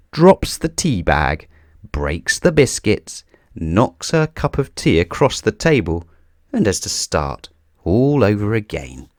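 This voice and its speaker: A man reads a children's picture book with theatrical emphasis. His voice sits fairly low and swings widely in pitch as he goes. The sound is close and dry, suited to careful storytelling. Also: dry recording. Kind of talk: scripted storybook read-aloud.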